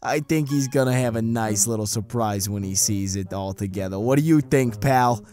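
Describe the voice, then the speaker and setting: A man's voice: mid-range voice, normal speed, darkish tone, faint music behind, pitched below the performer's own voice, lightly acted. A man voicing a cocky, laid-back giant lizard monster with a sarcastic, mocking manner in a cartoon dub. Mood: friendly. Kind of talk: cartoon comic dub voice acting.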